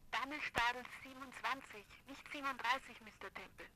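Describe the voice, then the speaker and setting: A woman's voice: sits high, brisk, pushed and bright, low-quality recording. A woman playing an urgent, secretive telephone caller in a 1950s German radio play. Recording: old radio recording, faint noise.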